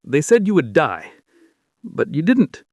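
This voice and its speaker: A man's voice: a little high, wildly swooping pitch, a little slow, gravelly, darkish tone, slightly rough, no room sound, clean studio sound, pitched above the performer's own voice, exaggerated voice. A man voicing a fierce, anguished king in love in a dramatic story, impassioned and pleading. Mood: shaken, scolding.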